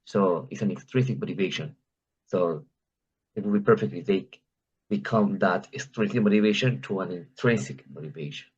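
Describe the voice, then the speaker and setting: A man with a low, husky voice speaks in an online discussion. He goes haltingly, repeating words and listing points earnestly. The audio is dull and muffled. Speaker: participant in an English practice meeting.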